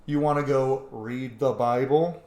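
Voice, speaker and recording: A man's voice: mid-range voice, fairly even intonation, measured pace, warm tone, reverberant. A man giving casual, joking commentary as he plays through a game, chatting informally. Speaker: game player, streamer.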